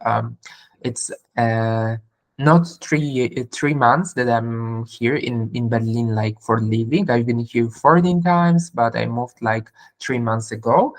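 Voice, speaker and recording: A woman from Poland with a Polish accent, speaking as a guest in casual interview chat, her non-native English halting and full of fillers. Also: very deep voice.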